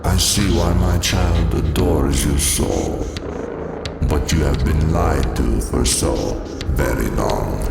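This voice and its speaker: A man voicing an ancient, haughty dark god in a motion comic, speaking in a grandiose, menacing, gravelly way. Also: rumbling low, slow, exaggerated voice. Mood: condescending. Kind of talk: dramatic comic book villain monologue. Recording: cavernous echo under loud music.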